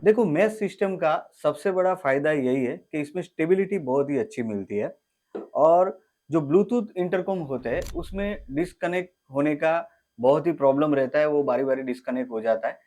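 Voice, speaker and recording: Fairly high-voiced Indian man, guest expert. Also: lively intonation, moderate pace, darkish tone. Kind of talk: explanatory expert opinion.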